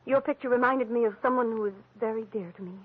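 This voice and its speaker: A genteel, hesitant wife played by a woman confiding in a 1940s radio detective play. Her voice is husky and a little high, and the old recording is thin and phone-like. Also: fast, lightly acted. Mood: wistful, sentimental.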